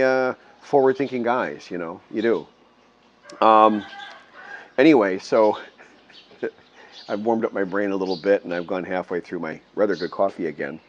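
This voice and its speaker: An older man from the Midwestern US with a low, deep voice talks casually to his camera. He rambles, restarts his sentences and chuckles now and then. He sits outdoors under a metal roof, with faint background noise of birds and animals around him. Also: highs cut off. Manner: rambling, self-correcting, chuckles often. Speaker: vlogger living abroad.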